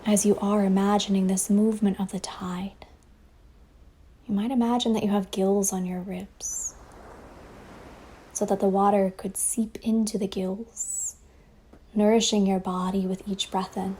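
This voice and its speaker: A woman leading a guided meditation as a teacher, speaking softly and breathily in a soothing way with long pauses, over ocean waves. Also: medium pitch, level tone. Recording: faint ambient wave noise behind voice. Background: ocean waves sound effect.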